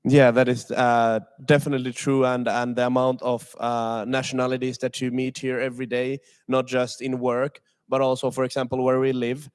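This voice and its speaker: A man's voice: mid-range voice, level tone, normal speed, hardly any pauses, clean tone. A young man from Finland moderating a streamed student panel, hesitant with many filler sounds. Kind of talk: panel discussion on a livestream.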